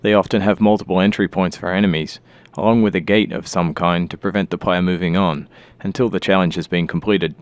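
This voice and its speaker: A man with a low, clean and resonant voice reads scripted developer commentary. He is recorded very dry and close, explaining design decisions as a game designer. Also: fairly even intonation, fairly quick, no echo.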